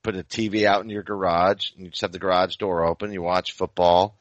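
Deep-voiced American man, chummy and slangy. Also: flat delivery, a little fast, no echo, lo-fi sound. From Maine, United States. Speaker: sports podcast co-host. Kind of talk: casual sports chat.

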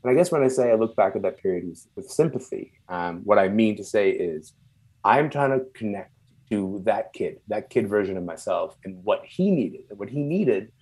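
A man from Sudan who moved to Canada young and is not a native English speaker, speaking reflectively and haltingly as an interview guest, searching for words. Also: lowish voice, animated, darkish tone, slightly rough, rough recording. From born and raised in Sudan, moved to Canada at twelve. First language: non-English first language.

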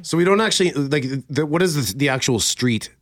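A man's voice: a little high, lively intonation, brisk, fairly bright tone, slight background noise. A Canadian man co-hosting a radio show in a casual, chatty style. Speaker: radio co-host.

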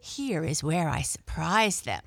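Plucky, gentle storybook cat, played by a woman, earnest self-talk with animated pitch. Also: takes it slowly, vocal fry, no room sound, full character voice. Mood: hopeful, self-assured. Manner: talks to herself in simple, earnest sentences. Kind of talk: children's storybook character reading.

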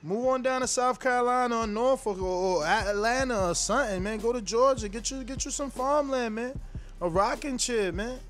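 Brash high-voiced man hosting a call-in show. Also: moderate pace, fairly bright tone. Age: 40s. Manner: brash, profane, teasing. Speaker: host.

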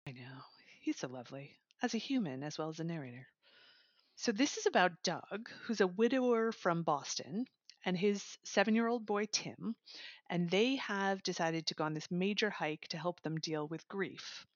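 A woman's voice: fairly low pitch, animated, moderate pace, no echo. A woman reviewer in casual chat, summarizing a book enthusiastically and laughing now and then. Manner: enthusiastic, chatty, laughs while talking. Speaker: audiobook reviewer, guest. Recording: dry, slightly dull lo-fi sound.

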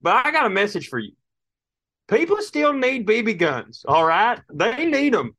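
A man's voice: high-pitched, very animated, normal speed, sharp tone, no room sound. An American man from Minnesota telling stories casually as a comedy podcast co-host, ranting in comic exasperation and acting out quotes. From Minnesota, United States.

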